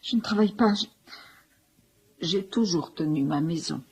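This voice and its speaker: A woman's voice: lowish voice, moderate pace, breathy edge. An actress playing a distressed, protective middle-aged mother in a radio drama, plaintive and defensive. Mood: distraught. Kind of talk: naturalistic French radio drama acting.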